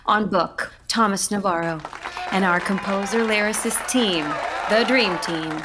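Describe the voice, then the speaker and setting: An actress playing an enthusiastic producer introducing a creative team in an audio drama. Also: female voice, mid-range voice, a little slow, crowd noise, background noise, lightly acted. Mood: gushing. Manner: gushing announcer tone. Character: upbeat young woman producer, gushing.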